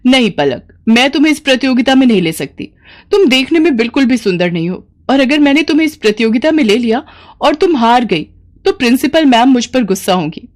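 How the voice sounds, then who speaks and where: A woman's voice: high-pitched, very animated, a little fast, voice pushed up. A formal, authoritative lady class teacher in a storybook tale, put on by a woman narrator. She addresses her pupils plainly and firmly. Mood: dismissive. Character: blunt female school class teacher.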